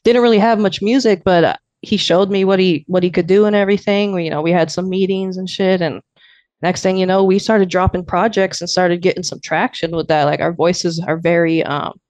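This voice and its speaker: Young man from Arizona, fairly high voice, laid-back rambling chat, dry close sound. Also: fairly even intonation, fairly quick, a little mellow, no echo. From Arizona, USA. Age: 20s. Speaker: guest producer and emcee. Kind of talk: casual podcast chat.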